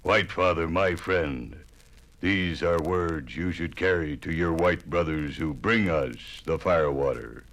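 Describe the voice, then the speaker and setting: Very deep, slow, solemn chief. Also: male voice, fairly bright tone, full character voice. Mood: solemn appeal. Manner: solemn broken English, weighty phrases. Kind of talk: 1950s radio drama acting. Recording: noisy old vinyl radio recording.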